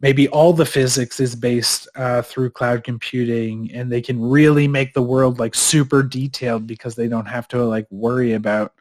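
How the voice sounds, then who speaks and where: A man's voice: mid-range voice, steady tone, normal speed, hardly any pauses, a little mellow. A man co-hosting a podcast in casual chat, a little stuffed up in his nose, rambling with fillers and restarts. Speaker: podcast co-host.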